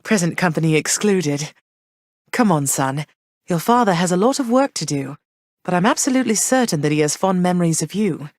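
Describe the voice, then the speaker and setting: An actress voicing a gentle, motherly goddess queen of the underworld, speaking warmly and graciously in game voice lines. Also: fairly low pitch, animated, a little fast, dry recording, lightly acted. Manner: warm, gracious, softly reassuring. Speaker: voice actor. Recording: dry studio voice recording.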